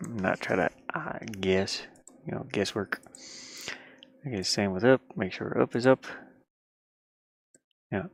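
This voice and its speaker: Tired guy w/ gravelly fry, mumbling to himself while he does game dev on stream, dry headset sound. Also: fairly low pitch, slow, long pauses, no echo. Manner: muttering to himself, grumbling at the software. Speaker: indie game developer working on camera. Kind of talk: casual thinking-aloud screen-work stream.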